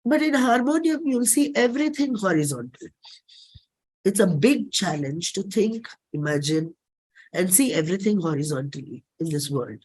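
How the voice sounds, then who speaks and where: A middle-aged performer with an Indian accent, giving a dramatic autobiographical reading in measured, deliberate phrases. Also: mid-range voice, lively intonation, a little mellow, husky, poor audio. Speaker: singer and performer.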